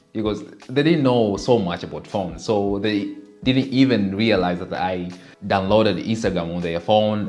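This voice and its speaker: A man in his twenties from Tanzania, telling his life story to his channel in an earnest, animated way. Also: fairly low pitch. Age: 20s. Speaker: content creator. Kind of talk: personal storytelling to camera.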